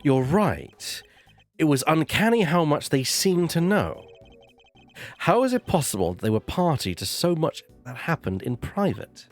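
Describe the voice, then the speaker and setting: A man voicing a stern, sceptical investigator in a video game read-through, with a measured, challenging manner. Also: a little high, very animated, faint music behind. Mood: pensive. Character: stern, sceptical male investigator confronting a villain. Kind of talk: live video game voice-over.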